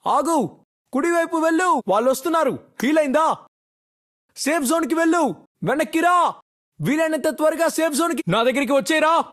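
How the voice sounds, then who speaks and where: High-pitched commanding squad soldier. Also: male voice, expressive, brisk, pauses often. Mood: urgent, commanding. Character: male battle-royale squad soldier calling out tactical commands. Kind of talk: short game voice-pack callouts.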